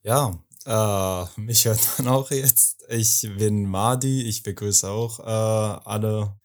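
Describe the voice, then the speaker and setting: Slow, hesitant young man from Afghanistan. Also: on the low side. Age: young adult. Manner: hesitant, many fillers, searching for words. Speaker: podcast co-host. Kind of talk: casual podcast talk.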